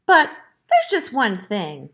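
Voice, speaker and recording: A woman's voice: a little high, wildly swooping pitch, slow pace, fairly bright tone, voice pushed up, heavily acted. A woman voicing a small, sly storybook piglet that squeals and wheedles with mock innocence and meek sighs. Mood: sly meek pleading. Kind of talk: children's storybook read-aloud.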